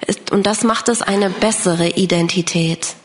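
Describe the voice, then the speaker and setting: A woman's voice: mid-range voice, fairly even intonation, a little slow. A woman interpreting a sermon live, phrase by phrase.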